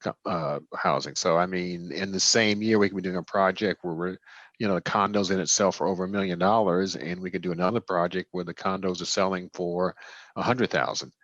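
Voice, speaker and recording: A man in his fifties from Washington, D.C. speaks in a very low voice, thinking aloud with frequent pauses and restarts as he answers. The recording sounds dull and muffled, with a dry, close quality. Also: fairly even intonation, fairly bright tone, dry recording. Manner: thoughtful, many fillers and restarts. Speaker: guest, real estate developer. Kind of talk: casual interview answers.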